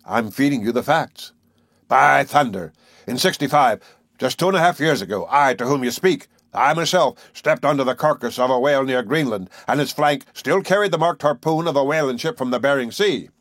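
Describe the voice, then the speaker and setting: A man voicing a blunt, boisterous male harpooner who talks loud and boastful. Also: mid-range voice, normal speed, no room sound, clean studio sound, voice pushed up.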